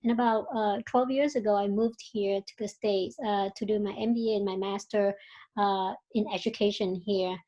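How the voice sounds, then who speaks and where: A woman's voice: medium pitch, steady tone, normal speed, dark tone, dull audio. A woman from Vietnam with a Vietnamese accent, a company founder giving an earnest webinar presentation and telling her own story with frequent fillers over a video call.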